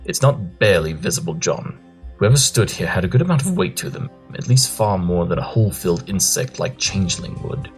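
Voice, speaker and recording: A very low male voice acted by a man for a pompous cartoon pony detective. He sounds brisk, clever and fully sure of himself. Faint music plays behind him. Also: very animated. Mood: deducing. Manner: pompous, brisk, self-assured. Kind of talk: animated fan drama acting. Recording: music under the voice.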